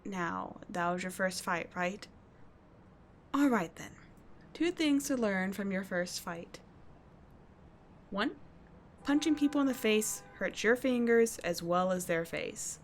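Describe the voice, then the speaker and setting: A woman's voice: mid-range voice, expressive, slow, long pauses, a little hiss or hum. A woman playing a tough but caring teenage delinquent schoolgirl in an ASMR roleplay, speaking in a slangy, blunt yet soothing way. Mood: brisk, teasing. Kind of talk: ASMR roleplay audio drama.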